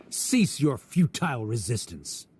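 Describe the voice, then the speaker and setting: A man's voice: medium pitch, sing-song, slow, noisy background. A man playing a haughty, stern scholar in a video game, speaking in a pompous, formal manner. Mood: scornful. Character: haughty male scholar official, stern and dismissive. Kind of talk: English game voice acting, formal debate.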